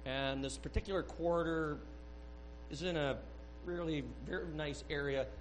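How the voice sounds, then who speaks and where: A man tells his testimony aloud during a church service, earnest and halting as he keeps circling back to restart his sentences. His voice sits a little high for a man, and a faint electrical hum runs beneath it. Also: steady tone, low-quality recording. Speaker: church member giving a testimony. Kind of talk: rambling spoken testimony.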